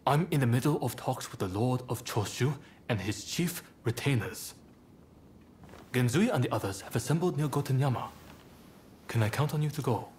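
Composed samurai leader with lively pitch played by a man. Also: a little hiss or hum, natural voice. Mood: courteous. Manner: formal, polite, measured phrasing. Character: composed male samurai rebel leader, courteous and commanding. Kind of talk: dramatic video game voice acting.

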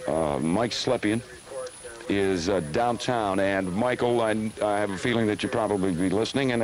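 Low-pitched American male radio host. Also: low-quality recording. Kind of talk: live storm announcements on 1970s radio. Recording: 1970s radio aircheck on cassette.

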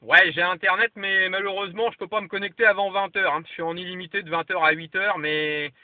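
A man's voice: high-pitched, steady tone, a little fast, background noise. A man chatting casually as a radio operator and giving signal reports. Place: parked vehicle. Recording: lo-fi camera audio, muffled, dry.

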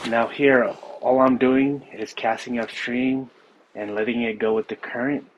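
A man explaining his fishing trip and gear in a relaxed, casual way on a snowy riverbank, recorded on a body camera. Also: medium pitch, measured pace, highs cut off, a little hiss or hum. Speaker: fishing vlogger. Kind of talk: casual outdoor narration.

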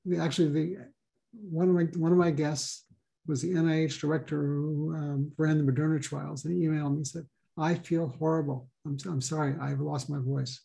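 A man hosting a talk over a video call, speaking haltingly with many pauses and ums. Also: on the high side, level tone, gravelly, warm tone, low-quality recording. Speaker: host and co-chair.

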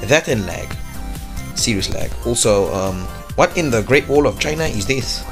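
A young man riffs loosely as he plays, slangy and joking with a medium-pitched voice. Game music runs clearly under his talk. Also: expressive, moderate pace. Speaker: gaming YouTuber. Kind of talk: casual gameplay commentary.

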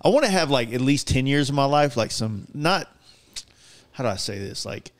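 Male podcast host, around 40, medium pitch, loose profane conversational delivery, thinks out loud. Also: sing-song, normal speed. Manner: profane, rambling, self-interrupting. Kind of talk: casual chat. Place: garage workshop, indoors. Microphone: podcast microphone on boom arm, close.

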